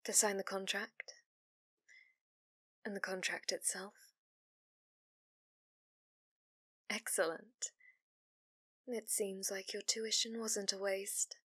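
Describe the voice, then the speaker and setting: A possessive female fox spirit, acted by a woman for an ASMR roleplay. She speaks very slowly and intimately, often sinking into breathy whispers. The sound is dry and close, as if she is right at the microphone. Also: mid-range voice, flat delivery, fairly bright tone, no room sound. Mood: resigned, hurt. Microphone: close studio microphone, dry.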